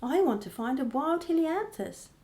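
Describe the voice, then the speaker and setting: A woman playing a gentle, anxious mother and wife in a radio play, a little hesitant. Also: high-pitched, big pitch swings, moderate pace.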